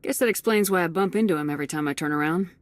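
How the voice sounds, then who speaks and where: An actress playing a composed female military commander who asks brisk, direct questions in a video game cutscene. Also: lowish voice, dry recording, natural voice.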